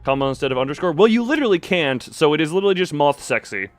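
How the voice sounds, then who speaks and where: A man's voice: fairly high pitch, expressive, very fast, no echo, soft background music. A man hosting a livestream, rambling casually and jokingly as he goes off on tangents and talks back to chat. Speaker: livestream host, streamer.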